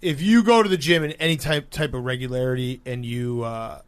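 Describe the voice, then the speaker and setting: A man with a medium-pitched voice chats as a podcast co-host. His talk is informal comedic banter with short, conversational phrases. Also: steady tone, brisk, smooth.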